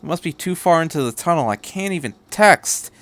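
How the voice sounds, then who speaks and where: An irritable young office lady acted out by a man, grumbling in a raised pitch, in a dry story reading. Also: animated, a little fast, fairly bright tone, dry recording. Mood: frustrated.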